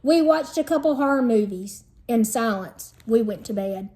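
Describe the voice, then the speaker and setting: A woman's voice: on the high side, expressive, normal speed, dark tone. A woman teacher reading a children's storybook aloud in an explanatory manner meant for young listeners.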